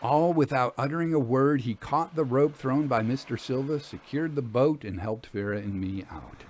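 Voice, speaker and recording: A man reading scripted literary narration as a storyteller, with formal, measured phrasing. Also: medium pitch, darkish tone, slight background noise.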